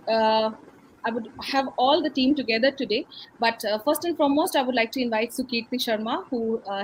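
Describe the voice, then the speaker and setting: High-pitched Indian woman hosting online. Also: a bit bright, a little hiss or hum, poor audio. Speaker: host. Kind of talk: hosting an online launch event. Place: remote video call.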